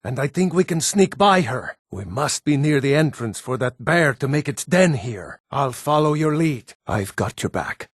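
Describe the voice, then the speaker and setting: A man's voice: mid-range voice, big pitch swings, normal speed, few pauses, husky, dry recording, studio quality. An actor playing a steadfast, plain-spoken Nord rebel who urges his comrades on in a fantasy video game. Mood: steady, wry. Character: rugged, steadfast Nord rebel man, wry and urgent. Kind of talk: fantasy game dialogue lines.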